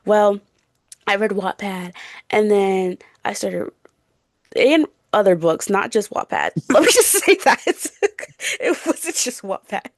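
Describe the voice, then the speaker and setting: A woman's voice: medium pitch, lively intonation, slow, dry recording, studio quality. A woman of about twenty co-hosting a podcast, chatting casually in a giggly, slangy way with lots of filler words. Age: around 20. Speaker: podcast co-host. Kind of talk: casual chat.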